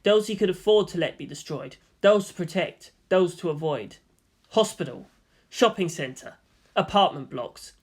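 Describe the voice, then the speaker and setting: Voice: medium pitch, lively intonation, a little slow, slightly rough. A narrator reading scripted fan fiction prose as an audio story.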